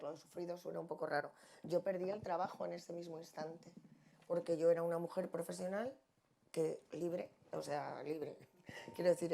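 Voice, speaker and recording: A woman's voice: lowish voice, gravelly, mellow. A middle-aged woman with an accent from Spain, speaking off the cuff on a panel in an emphatic, ironic manner.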